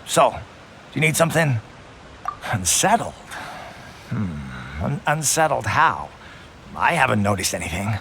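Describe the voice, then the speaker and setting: A man's voice: fairly low pitch, sing-song, sharp tone, a little hiss or hum, heavily acted. A man playing a lazy, drowsy male soldier who keeps to himself and sighs as he talks. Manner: drowsy, sighing, unhurried.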